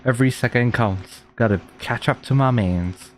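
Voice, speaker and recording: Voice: medium pitch, normal speed. A streamer giving casual gameplay commentary in a chatty, informal way. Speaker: streamer playing a game. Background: game music.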